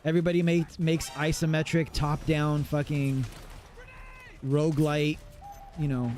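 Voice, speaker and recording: A man's voice: a little high, level tone, measured pace, a little mellow, faint music behind. Laid-back, cursing male streamer. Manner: casual, profane, rambling. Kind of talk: live gameplay commentary.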